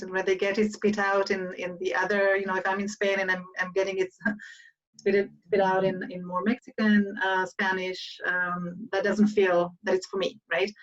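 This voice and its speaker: Rambling woman, far off, muffled. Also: mid-range voice. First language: Hebrew. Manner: rambling, many restarts and "right?" tags. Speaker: interviewer. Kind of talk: casual panel interview.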